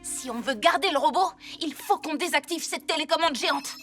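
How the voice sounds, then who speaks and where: A woman's voice: high voice, fairly quick. A woman voicing a bossy little boy hero leader. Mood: commanding. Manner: decisive, takes charge, gives orders. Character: confident six-year-old boy cat superhero, team leader. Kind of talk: French cartoon dub. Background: loud cartoon music.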